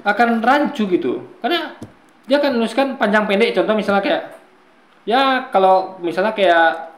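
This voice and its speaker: A man with a fairly high voice lectures his viewers in an argumentative commentary, often calling them guys. His voice rings in an echoey room. He explains his points at length, like a teacher. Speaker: commentator responding to a video.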